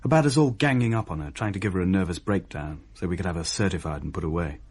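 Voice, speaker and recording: A man's voice: low voice, fast, a little mellow, husky. An actor playing a wry young English fiancé, telling his story conversationally in a radio play. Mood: wry, exasperated. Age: young adult. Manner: wry, matter-of-fact storytelling. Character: wry young fiancé recounting a chaotic haunted night.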